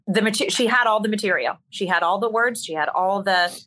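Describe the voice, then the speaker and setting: Bright-voiced woman telling candid stories. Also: medium pitch, fairly quick. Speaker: interview guest, musical theater performer. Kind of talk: candid conversational storytelling.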